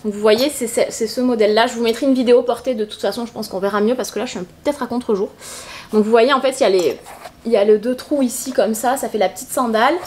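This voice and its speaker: A woman's voice: fairly high pitch, steady tone, quick pace, darkish tone, a little hiss or hum. A woman vlogger with a stuffy, cold-congested voice, chatting casually about her purchases in a rambling way full of hesitations. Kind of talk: casual shopping haul chat.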